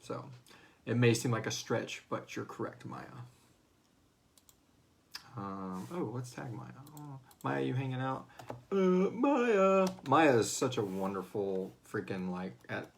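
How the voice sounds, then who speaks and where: A man's voice: mid-range voice, sing-song, takes it slowly, vocal fry, slight background noise. A man hosting a fan Q&A livestream, chatting casually and rambling, with slangy, profane asides and hesitant phrasing. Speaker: host of a serial drama fan Q&A. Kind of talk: casual unscripted livestream chat.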